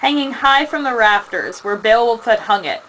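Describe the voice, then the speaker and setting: A woman giving a measured, dramatic narration of an epic poem as the storyteller of a puppet show. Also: fairly high pitch, animated, fairly bright tone, slight background noise, poor audio.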